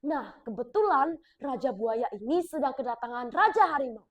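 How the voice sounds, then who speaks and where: A female storyteller from South Sumatra tells a folk tale in a clear, expressive voice. Her voice sits high and her narration is measured. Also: highs cut off. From South Sumatra, Indonesia.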